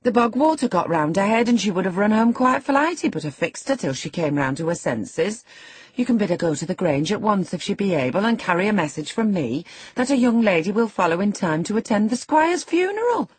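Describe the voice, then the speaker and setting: A woman's voice: medium pitch, big pitch swings, a little fast, darkish tone, breathy edge, no room sound, pitched above the performer's own voice. A woman voicing a sly, commanding man, the master of a house, giving curt orders in an audiobook. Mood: curt, mocking.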